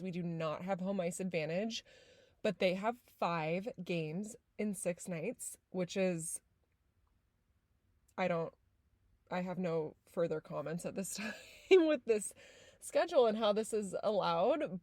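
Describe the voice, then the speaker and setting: A woman's voice: mid-range voice, darkish tone, dry recording. A woman from San Diego hosting a podcast, talking casually with lots of fillers, a little nasal and congested. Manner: chatty, many fillers like "like". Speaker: podcast host. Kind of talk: casual podcast intro and chat.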